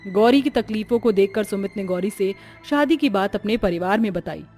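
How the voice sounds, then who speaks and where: A woman's voice: mid-range voice, moderate pace, a little mellow, soft background music. A woman narrator reading a scripted family story for a cartoon.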